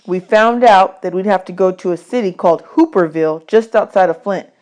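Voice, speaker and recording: A woman's voice: medium pitch, fairly quick, darkish tone. A woman narrating a children's novel as a read-aloud audiobook. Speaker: audiobook reader.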